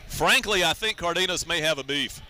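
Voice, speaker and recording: An American man calling a baseball game as a radio play-by-play announcer, in a measured, descriptive way. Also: a little high, bright, forceful tone, soft background music, some chatter behind. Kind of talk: live sports radio commentary.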